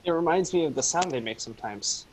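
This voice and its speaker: An actor playing a timid, nervous teenage boy in a sci-fi table read, with a husky voice and a hesitant delivery. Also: fairly high pitch, expressive, fast, a little hiss or hum, lightly acted, rough recording. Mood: uneasy.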